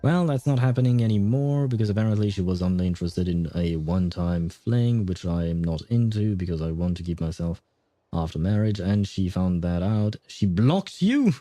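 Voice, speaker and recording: Young male streamer, warm low-key tone, dry close mic, rambling and good-humored. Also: normal speed, no echo. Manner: chatty, laughs often, thinks aloud while reading. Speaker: gaming livestreamer. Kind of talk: casual livestream chat.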